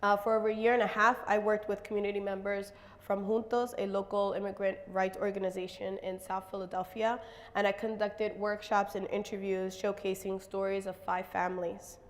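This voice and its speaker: Raspy-ish woman talking about her art on stage, pretty flat delivery, big hall echo. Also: medium pitch. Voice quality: slightly raspy voice. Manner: halting, many fillers. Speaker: visual artist presenting her work. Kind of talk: stage presentation.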